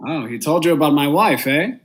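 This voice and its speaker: A man's voice: on the high side, animated, a little fast, fairly bright tone. A young man acting a stern judge and narrator in a vintage radio drama, speaking formally and with measure. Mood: polite, controlled. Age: young adult. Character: stern, unmoved male judge, husband and brooding narrator.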